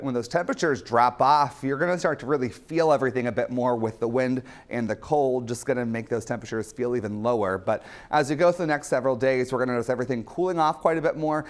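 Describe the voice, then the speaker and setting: A man presenting a morning weather forecast on local TV, easygoing and chatty. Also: mid-range voice. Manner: easygoing, chatty, repeats "as we go through it". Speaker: meteorologist.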